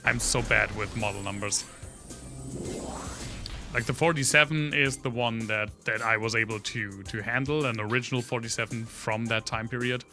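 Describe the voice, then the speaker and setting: A man's voice: mid-range voice, fairly even intonation, moderate pace, pushed and bright, soft background music. A man from Germany with a German accent, chatting casually on a livestream while he plays a game, rambling through trivia on a studio condenser mic. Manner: rambling, full of trivia, talks to chat between gameplay reactions. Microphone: studio condenser microphone.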